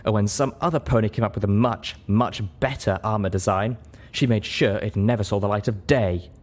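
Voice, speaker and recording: Man as old female pony elder, low-pitched, stern, scornful, deliberate, storybook character. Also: darkish tone, close to an everyday voice.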